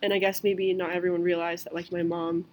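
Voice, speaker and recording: A woman's voice: fairly low pitch, flat delivery, quick pace, slight background noise. A young woman in her twenties from the United States, hosting a casual podcast chat and filling her talk with "like". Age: 20s. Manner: says "like" constantly, loose and chatty. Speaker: podcast host.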